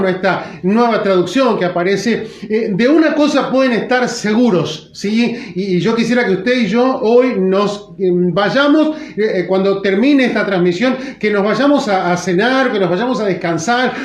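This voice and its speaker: A middle-aged man from Argentina with a faint Argentine accent, preaching a sermon in a relaxed conversational way, with many fillers and restarts. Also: sits high, roomy sound. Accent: Argentine Spanish, but weak. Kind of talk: sermon, livestream.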